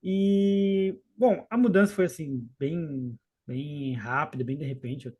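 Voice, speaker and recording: A man in his late thirties from southern Brazil with a faint gaúcho accent, telling a personal story in an unhurried, halting way, with frequent restarts and trailing asides. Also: fairly high pitch, breathy edge, muffled recording. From Rio Grande do Sul, southern Brazil. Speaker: podcast guest and co-host. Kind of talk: casual storytelling in a podcast chat.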